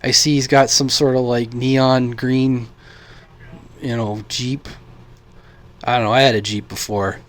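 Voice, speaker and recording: A man's voice: medium pitch, level tone, unhurried, pauses often. An American man giving a casual, opinionated vlog monologue in a blunt, informal, rambling way, as a radio hobbyist. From United States.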